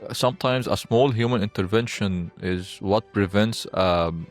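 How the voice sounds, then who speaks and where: A man hosting a podcast interview, speaking in a measured, hesitant way with fillers. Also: on the low side, faint music behind. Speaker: podcast host. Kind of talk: conversational interview.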